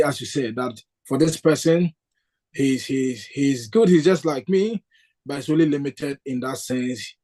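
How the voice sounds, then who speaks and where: A man's voice: mid-range voice, a little mellow. Thoughtful man from Ghana. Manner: thoughtful, hesitant, with frequent fillers and restarts. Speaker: discussion participant. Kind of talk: reflective spiritual discussion.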